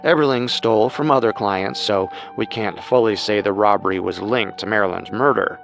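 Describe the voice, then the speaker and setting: A man co-hosting a podcast, reading scripted true-crime narration in a measured storytelling manner. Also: on the low side, gravelly, a bit bright, soft background music.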